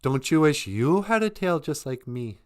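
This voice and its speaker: A man voicing a vain, boastful male beaver who brags loudly in a high voice. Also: very animated, moderate pace. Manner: bragging, loud and high.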